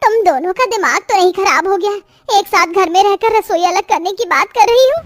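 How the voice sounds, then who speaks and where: A woman's voice: very high voice, lively intonation, normal speed, no echo, studio quality, faint music behind. A woman playing a stern, grumbling older mother-in-law in a moral-story cartoon, reproachful and scolding. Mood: indignant.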